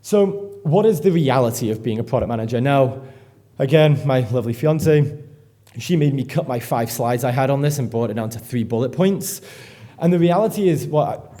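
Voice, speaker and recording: A man gives a live conference talk. His voice is mellow and somewhat dark. He rambles, pokes fun at himself and swears casually as he goes. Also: mid-range voice. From England. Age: 30s. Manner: swears freely, rambling and self-deprecating. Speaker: conference speaker. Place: conference stage before an audience.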